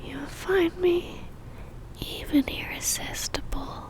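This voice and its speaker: A woman playing an obsessive, seductive female vampire in a whispered ASMR roleplay, speaking intimately with a teasing, soft menace. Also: extremely high pitch, slow, poor audio. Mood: seductive, possessive.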